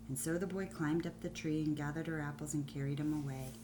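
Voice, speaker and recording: A woman teacher reading a children's story aloud, deliberate and expressive, with pauses between phrases. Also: low voice, noisy background.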